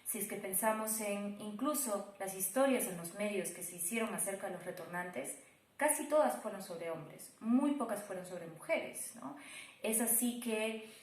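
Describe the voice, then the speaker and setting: A woman's voice: medium pitch, lively intonation, moderate pace. A woman explaining a creative project conversationally, thoughtful and often correcting herself as she goes. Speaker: production team member. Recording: echoey room.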